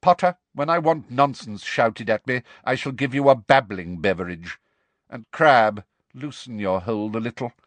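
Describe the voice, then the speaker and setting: A man voicing a cold, sardonic male professor who speaks smoothly and with contempt. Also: mid-range voice, no room sound, close to an everyday voice.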